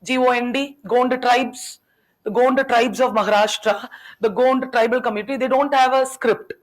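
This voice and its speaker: A woman's voice: a little high, steady tone, moderate pace, poor audio. Chatty woman teacher quizzing her class. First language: Tamil. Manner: questioning students, chatty and teasing. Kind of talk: classroom lecture.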